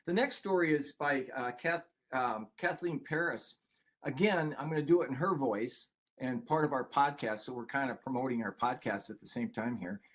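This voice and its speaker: Older male webinar presenter, average pitch, chatty and rambling, off-the-cuff delivery. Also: normal speed, fairly bright tone, breathy edge. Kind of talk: casual conversational presenting. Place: online video call.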